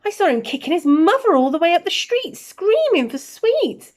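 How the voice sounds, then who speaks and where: A woman's voice: extremely high pitch, sing-song, normal speed, voice pushed up. A woman voicing an anxious, stern older woman professor in a storybook, with exclaiming, emotional delivery. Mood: indignant. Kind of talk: storybook character reading.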